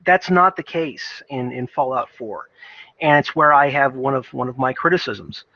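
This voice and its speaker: Bright, forceful man giving halting game commentary. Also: mid-range voice, muffled recording. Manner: halting, repeats and restarts phrases. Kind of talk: unscripted opinion commentary.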